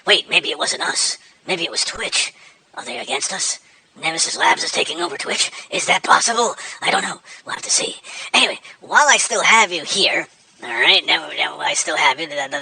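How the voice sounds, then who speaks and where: A man's voice: on the high side, creaky, bright, forceful tone, a little hiss or hum, heavily acted, low-quality recording. A man voicing a nervous, self-important cartoon shark who hosts a show and rambles anxiously. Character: chatty nervous male cartoon shark host.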